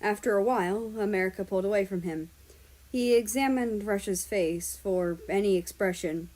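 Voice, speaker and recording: A woman reading fan fiction aloud as an expressive narrator. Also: mid-range voice, normal speed, rough recording. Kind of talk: expressive storybook-style narration.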